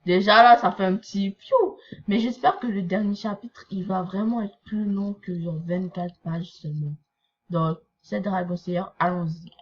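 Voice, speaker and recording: A woman's voice: mid-range voice, steady tone, moderate pace, lo-fi sound. A young woman from Quebec with a Québécois accent, chatting casually as she reads and reacts to a manga chapter, full of fillers and asides. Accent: Québécois French. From Quebec, Canada. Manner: chatty, rambling, many fillers. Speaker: anime reaction vlogger.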